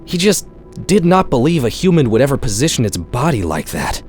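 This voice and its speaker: A man's voice: medium pitch, big pitch swings, a little fast, darkish tone, soft background music. A man reading a scripted short story in a wry, sardonic storytelling manner, like a horror-comedy audiobook. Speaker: author-narrator reading own short story.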